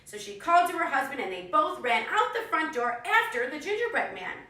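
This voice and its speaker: Female storyteller for young children, high and bright, recorded from a distance in a roomy space. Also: very animated, fairly quick. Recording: echoey room, distant microphone.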